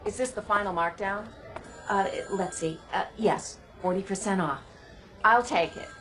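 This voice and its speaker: An actress playing a brisk, everyday woman in a busy department store in a radio drama. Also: mid-range voice, a bit bright, faint music behind, close to an everyday voice. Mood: brisk, eager.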